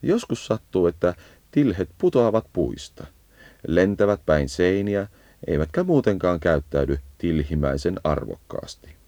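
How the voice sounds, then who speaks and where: A man reading scripted educational nature narration in a measured, explanatory way, as a guide to birds. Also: low-pitched, big pitch swings, dark tone, dry recording.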